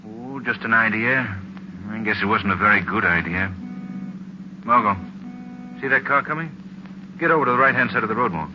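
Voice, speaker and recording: An actor playing a brisk, imperious gentleman detective hero in an old radio thriller. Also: lowish voice, lively intonation, close to an everyday voice. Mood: calm, probing. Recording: hissy, muffled old radio recording.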